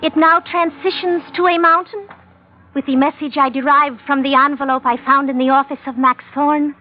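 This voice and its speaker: An actress performs a proud, possessive young foreign woman from a vintage radio adventure. Her voice is very high and her phrasing is stilted and grandly formal. The recording is dulled, like an old broadcast. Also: fairly even intonation, moderate pace, lo-fi sound, lightly acted. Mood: imperious, formal.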